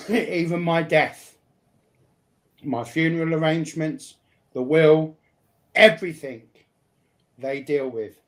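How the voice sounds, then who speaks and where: A man talking personally and bluntly, repeating phrases to drive his points home. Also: a little high. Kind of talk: emphatic personal talk, partly confrontational.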